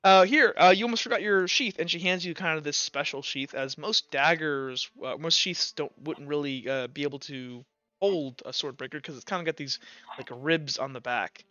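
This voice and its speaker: A man with a fairly high, smooth voice runs a tabletop game, rambling through rules and scene descriptions and often correcting himself. The audio is dry and a bit dull, with music underneath. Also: steady tone, moderate pace, pushed and bright, dry recording. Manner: rambling, self-correcting, explanatory. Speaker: game master. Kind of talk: improvised narration of a tabletop roleplaying game.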